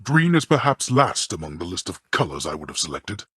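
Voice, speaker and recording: A haughty god-king of the underworld for a video game, with a very deep and heavily rasping voice. His speech is formal and dryly contemptuous, swinging widely in pitch as he passes judgment like a stern father. The sound is close and dry. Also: big pitch swings, fairly quick, fairly bright tone, no echo, heavily acted. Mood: scornful, irritated. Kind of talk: theatrical video game character dialogue.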